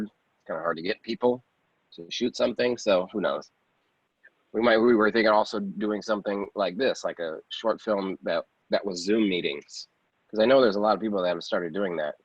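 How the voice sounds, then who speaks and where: A man giving a casual interview as an independent filmmaker, rambling conversationally and often repeating phrases. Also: low voice. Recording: dull, roomy lo-fi sound.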